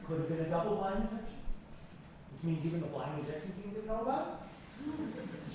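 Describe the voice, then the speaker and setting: A man giving a physics seminar and answering questions, telling stories with a joking, animated manner. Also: high voice. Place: lecture hall. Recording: echoey hall recorded from far off, dull and noisy.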